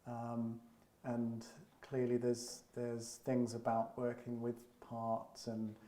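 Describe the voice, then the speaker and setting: A male host with a mellow, relaxed tone leads the conversation in a soft, measured way. He is heard in a roomy space with noticeable echo. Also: medium pitch. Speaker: interview host. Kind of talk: gentle moderating. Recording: echoey room.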